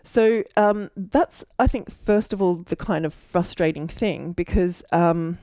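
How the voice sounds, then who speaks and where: A woman's voice: fairly low pitch, a little slow, warm tone, no echo, clean studio sound. An Australian woman in her forties, an architect, telling her story casually with many fillers and self-corrections. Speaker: architect and mentor.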